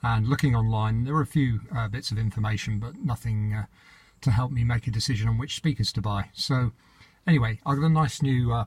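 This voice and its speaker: A man's voice: medium pitch, a little mellow, husky, dry recording. A British man presenting an informal hands-on how-to in an unscripted, matter-of-fact, slightly hesitant manner.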